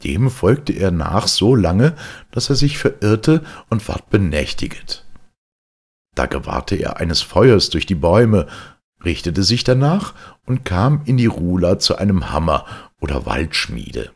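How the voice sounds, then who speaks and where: A man reading old legends aloud in a slow, deliberate, solemn storytelling manner. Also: low voice, sing-song, husky. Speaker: reader of legends.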